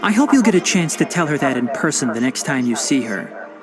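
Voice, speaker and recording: A man voicing a calm, learned young male forest ranger and botanist in a video game, explaining things in a patient, precise, lecturing way. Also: mid-range voice, a little fast, lightly acted. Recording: loud game soundtrack music behind.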